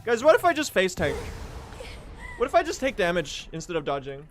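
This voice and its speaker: A young Swedish man live-streaming a speedrun, chatting with his viewers in a jokey, self-mocking way and bursting into yells at the game. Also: high-pitched, wildly swooping pitch, brisk, slight background noise. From Sweden. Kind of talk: live gaming stream chat. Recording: game music loud under the voice.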